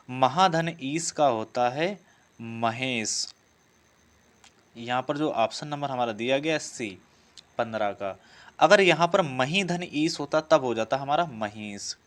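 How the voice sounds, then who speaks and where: A man speaks as a teacher taking students through practice questions. His voice is clear and smooth, at a middle pitch. He restates each question, then gives the answer in an instructional way. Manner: repeats each question before giving the answer. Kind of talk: instructional lesson, working through quiz questions.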